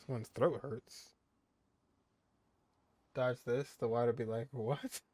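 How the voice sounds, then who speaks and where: A man's voice: medium pitch, steady tone, slow, dark tone, no room sound. A man doing casual commentary while he plays a video game, sometimes reading dialogue aloud. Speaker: gamer playing and reading aloud.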